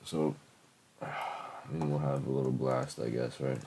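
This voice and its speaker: A man's voice: a bass voice, fairly even intonation, unhurried, creaky, warm tone, slight background noise. A young man in his late teens with a heavy cold and a stuffed-up voice, rambling casually with lots of fillers and swearing as he reviews his old music. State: congested from a cold. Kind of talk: casual screen-recording commentary.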